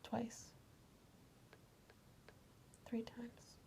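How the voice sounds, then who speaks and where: A woman playing the patient in a soft-spoken ASMR exam role-play, giving brief, quiet answers close to a whisper. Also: very slow.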